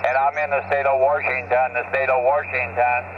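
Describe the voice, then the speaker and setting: A man's voice: mid-range voice, little pitch movement. Man on a muffled, noisy shortwave radio. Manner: halting, repetitive, folksy. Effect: shortwave radio transmission. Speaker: radio net controller. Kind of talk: amateur radio contact talk. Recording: dull, hissy single-sideband radio reception.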